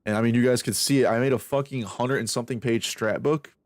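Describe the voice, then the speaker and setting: Young male streamer, mid pitch, loose and profane rambling, close dry sound. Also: steady tone, quick pace, no room sound. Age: 20s. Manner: rambling, profane, self-reflective, restarts a lot. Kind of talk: casual stream chat while aim training.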